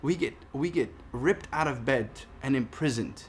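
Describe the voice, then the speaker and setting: A man in his thirties from Palestine speaking in a personal monologue, earnest, deliberate and emphatic. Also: medium pitch, a little hiss or hum. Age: 30s. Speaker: vlogger making a response video. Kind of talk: personal monologue addressed to camera.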